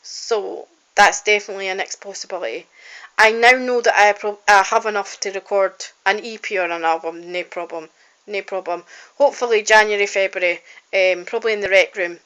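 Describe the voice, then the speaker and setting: A woman speaks straight into her phone for a personal video diary. Her tone is bright and forward, and her pitch sits in the middle of the usual range. She rambles earnestly, with plenty of ums and false starts. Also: fairly quick, clean tone, rough recording. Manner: heartfelt and rambling, with frequent ums and restarts. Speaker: singer-songwriter and college student. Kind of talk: personal video diary, casual talk to camera.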